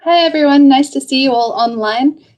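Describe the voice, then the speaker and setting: A woman's voice: high-pitched, normal speed, a little mellow. Fluent woman academic on a video call. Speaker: associate professor, community co-manager. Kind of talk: online panel talk.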